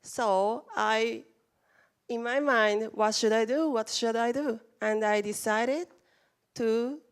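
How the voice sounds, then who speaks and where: Japanese lady giving a talk, slow and halting, stops and restarts a lot. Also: fairly high pitch, clean tone. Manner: halting, careful non-native phrasing with restarts. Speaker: conference speaker. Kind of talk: presentation talk. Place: conference room.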